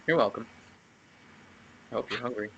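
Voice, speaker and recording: A man plays a stubborn, earnest young man in a romance drama. His voice is bright and forceful at an ordinary male pitch, with a halting, pleading intensity. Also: vocal fry, highs cut off, a little hiss or hum. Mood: guarded. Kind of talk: dramatic table read of a romance screenplay.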